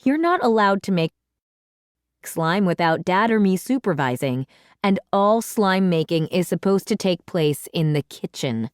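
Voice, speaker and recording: A woman voicing an exasperated, scolding mother in a children's storybook, with emphatic, drawn-out words. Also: lowish voice, animated, smooth, no echo, clean studio sound. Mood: stern, exasperated. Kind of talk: children's storybook read-aloud.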